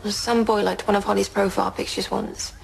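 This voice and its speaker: Teenage English schoolgirl, guarded, flat intonation, creaky, audio drama. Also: medium pitch, a little fast, a little hiss or hum. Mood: reluctant, guarded. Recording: faint background ambience.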